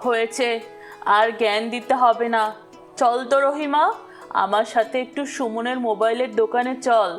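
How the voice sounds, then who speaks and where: Woman acting a plaintive old village mother, high-pitched. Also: fairly even intonation, moderate pace, close to an everyday voice. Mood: grateful, recovered. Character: frail, neglected old village mother, loving and sorrowful. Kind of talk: melodramatic cartoon voice acting. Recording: loud background music.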